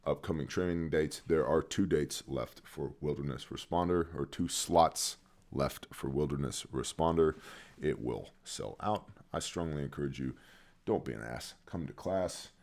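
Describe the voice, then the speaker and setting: A man with a strikingly low, deep voice hosts a news briefing. He reads each item plainly, then drops in dry, sarcastic remarks of his own. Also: darkish tone. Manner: dry sarcastic asides between read news items. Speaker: news commentary host.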